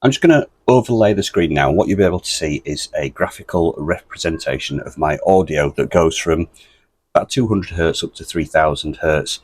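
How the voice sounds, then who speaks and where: A British man presenting a step-by-step ham radio tutorial in a deep, bassy voice, steady and methodical with a few ums. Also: brisk, slightly rough, no room sound. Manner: steady, methodical, explains with fillers.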